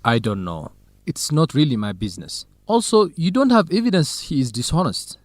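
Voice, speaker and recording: A man's voice: fairly high pitch, very animated, moderate pace, dry recording. An actor playing an earnest, lovesick young male student researcher in an educational radio drama, talking in plain, simple sentences. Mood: earnest, doubtful.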